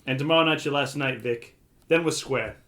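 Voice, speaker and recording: A man's voice: medium pitch, moderate pace, fairly bright tone, lightly acted. A man playing a blunt, dismissive jazz club owner in an audio drama. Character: curt, hard-nosed male jazz club owner. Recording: clear background ambience noise.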